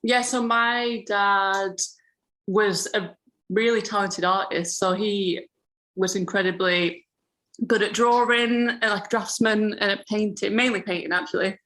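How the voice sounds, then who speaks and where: A woman, telling stories in a relaxed, rambling way as a podcast guest. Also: mid-range voice, measured pace, low-quality recording. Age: 30s. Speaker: podcast guest, grower and florist. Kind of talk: casual interview chat.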